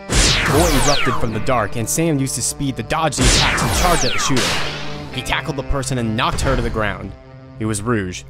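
A man narrating an audio drama in a casual storytelling manner. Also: mid-range voice.

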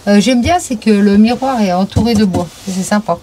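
A woman's voice: medium pitch, normal speed, background noise. A woman chatting cheerfully as she points out the features of a camper van, recorded inside the van. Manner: cheerful, chatty, listing features with small words. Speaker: vlogger touring a camper van. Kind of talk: casual walkthrough commentary.

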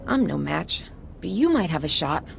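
A woman's voice: low voice, sing-song, moderate pace, gravelly, a little hiss or hum, full character voice. A woman playing a wry, battered police officer in a video game, sounding weary and in pain. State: pained, woozy.

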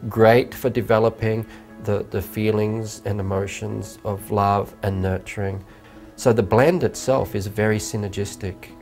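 A man's voice: on the low side, a little mellow. A man in his fifties speaking enthusiastically about ingredients in a documentary interview. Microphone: lapel.

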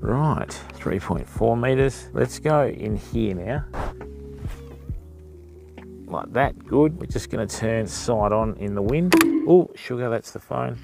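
A man's voice: mid-range voice, lively intonation, takes it slowly, creaky, long pauses, music in the background. A middle-aged Australian man giving casual live-stream fishing commentary and tips from a kayak on a river, talking to himself and his viewers. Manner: chatty, self-talking, addresses viewers as people. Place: outdoors on a river, kayak and bank. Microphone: action camera mounted on kayak.

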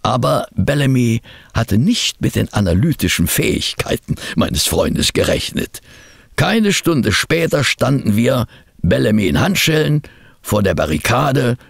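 Gravelly, very animated detective's companion. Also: male voice, mid-range voice, measured pace, no room sound, exaggerated voice. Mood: dramatic, explanatory. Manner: expressive, literate storyteller. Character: detective's loyal friend, dramatic, concerned chronicler. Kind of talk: scripted audio drama narration and acting.

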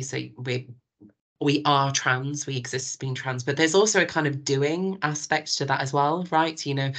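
Very low-voiced woman asking tentatively. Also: a bit bright. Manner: tentative, many fillers and restarts. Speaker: discussion participant asking a question. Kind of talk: discussion on a video call.

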